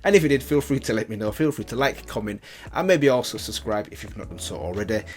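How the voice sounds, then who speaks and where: Lowish-voiced man chatting casually. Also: animated, quick pace. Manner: rambling, thinking aloud, repeats phrases. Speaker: reaction video host.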